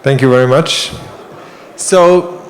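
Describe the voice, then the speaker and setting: A man's voice: mid-range voice, a little hiss or hum, rough recording. A man moderating a conference panel, talking with frequent restarts and fillers. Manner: halting, many restarts. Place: conference hall.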